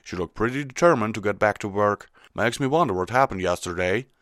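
A man's voice: lowish voice, animated, fairly quick, a bit bright, no echo, clean studio sound, voice pushed up. Man doing a folksy country mare. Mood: matter-of-fact. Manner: folksy, blunt. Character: plain-spoken, steady country mare, a cartoon farm pony.

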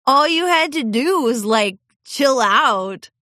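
A friendly young filly pony from a storybook, easygoing and drawling, as a woman reads her. Her voice sits high and stretches words out. Dry, close recording. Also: slow, dry recording, exaggerated voice. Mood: laid-back. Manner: relaxed drawl.

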